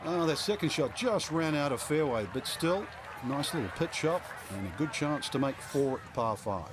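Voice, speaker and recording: A man's voice: on the high side, expressive, normal speed, background noise, close to an everyday voice. A man playing a golf analyst in a video game, giving loose, conversational strategy advice as co-commentator. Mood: easygoing analysis.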